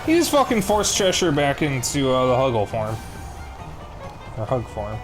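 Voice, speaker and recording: A man's voice: mid-range voice, normal speed, music in the background, low-quality recording. Easygoing man streamer with a Chicago accent. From Chicago area, USA. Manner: relaxed, rambling, chats with viewers between game moments. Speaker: live streamer. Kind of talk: casual chat while playing a video game.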